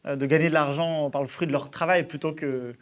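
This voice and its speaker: A man in his early thirties with a fairly high voice speaks as a presenter at a conference. He tells his own story in an informal, halting manner, often posing questions to himself as he goes. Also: steady tone. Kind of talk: personal testimony in a public talk.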